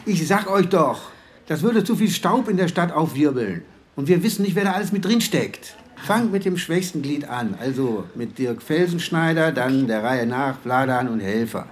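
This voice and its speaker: An actor playing a brusque, sardonic senior police investigator in a radio crime drama, pressing and ironic with an informal manner. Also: male voice, fairly high pitch, lively intonation, normal speed, natural voice. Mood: evasive, commanding.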